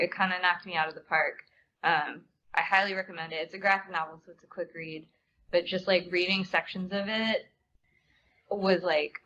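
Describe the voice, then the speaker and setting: A woman's voice: lowish voice, bright, forceful tone. Chatty woman on a noisy, dull recording. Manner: chatty, informal, frequent "like". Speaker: theater company performer on a discussion panel. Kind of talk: casual audience Q&A. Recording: dull room recording with clear background noise.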